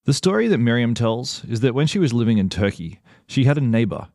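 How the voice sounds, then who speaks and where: A man hosting a podcast, giving an earnest, measured narration and interviewing a guest. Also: medium pitch, very animated, a little mellow, no room sound, clean studio sound. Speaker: podcast host.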